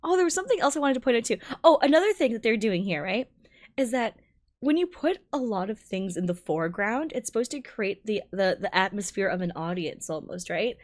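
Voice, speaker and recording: A woman streamer giving excited, exclamatory and rambling reaction commentary on a game trailer. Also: medium pitch, a little fast. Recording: music playing behind the voice. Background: game trailer music and dialogue.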